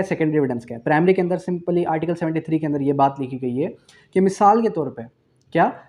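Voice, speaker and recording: Higher-voiced Pakistani man teaching law. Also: darkish tone. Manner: didactic, asks and answers own questions, repeats key phrases; switches into English for key terms. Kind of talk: exam revision lecture.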